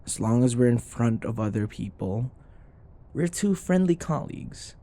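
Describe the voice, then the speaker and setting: Man acting a cocky, flirty office rival, swinging pitch. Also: animated, dark tone, close to an everyday voice. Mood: grudging, conciliatory.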